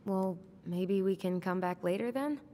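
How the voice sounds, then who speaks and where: A woman's voice: mid-range voice, a little slow, mellow, slight background noise, lightly acted. An actress playing an earnest, conciliatory young small-town woman with an American accent in a video game, speaking conversationally. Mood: calm, cautious. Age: young adult. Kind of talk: video game dialogue acting.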